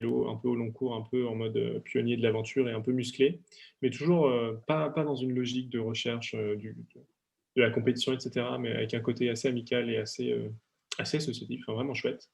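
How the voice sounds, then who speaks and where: A young man talks over a video call, an author fielding questions. He speaks in halting bursts, often stopping to restart a thought, at an ordinary middle pitch. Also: little pitch movement, gravelly, darkish tone. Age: young adult. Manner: hesitant, many fillers and restarts. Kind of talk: talk with audience questions, then reading aloud. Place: at home for an online talk.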